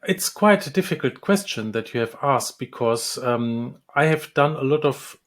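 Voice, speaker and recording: A man from the Republic, a dentist and lecturer, answering interview questions with many pauses, ums and restarts. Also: mid-range voice, animated, a bit bright. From Czech Republic. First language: Czech.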